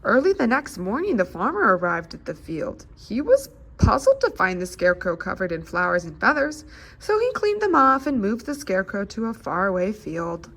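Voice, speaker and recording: A woman's voice: medium pitch, very animated, moderate pace, vocal fry, fairly bright tone, low-quality recording. An American woman speaking as a children's librarian at storytime, encouraging and addressing young listeners as friends.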